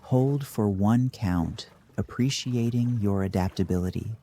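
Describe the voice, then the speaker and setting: A man narrating a scripted guided meditation in a measured, dry deadpan manner. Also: lowish voice, slight background noise.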